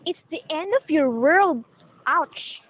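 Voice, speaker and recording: A woman's voice: high-pitched, lively intonation, slow. A woman playing a gossipy, teasing young café worker with a Filipino accent, sassy and theatrical, in an amateur romance radio drama. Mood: teasing, dramatic. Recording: tinny phone-like band, faint background noise.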